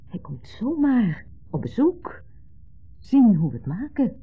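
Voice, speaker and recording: Patient wife, lively intonation. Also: female voice, on the high side, slow, slightly rough, heavily acted. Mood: defensive. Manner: reassuring, matter-of-fact. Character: patient, reassuring wife, mildly exasperated. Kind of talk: 1970s Dutch radio play acting. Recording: muffled narrow-band 1970s radio recording.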